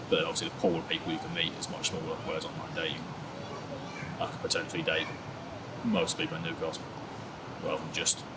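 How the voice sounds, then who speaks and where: A man's voice: a bass voice, heavy background noise. A man in his twenties being interviewed, chatting casually and laughing at himself, with lots of "sort of" and "like". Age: 20s. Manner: laid-back, self-mocking, hedging with fillers. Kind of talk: candid casual chat.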